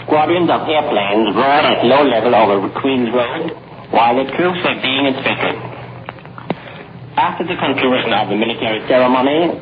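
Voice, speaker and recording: A man reading a scripted 1940s radio news bulletin in a formal, measured announcer's delivery. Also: medium pitch. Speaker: radio newsreader. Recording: noisy, dull 1940s shortwave radio recording.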